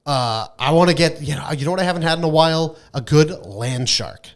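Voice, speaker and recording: A man's voice: a little high, expressive, fairly quick. A man co-hosting a podcast in casual, irreverent chat, brash and emphatic, swearing freely. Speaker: podcast co-host.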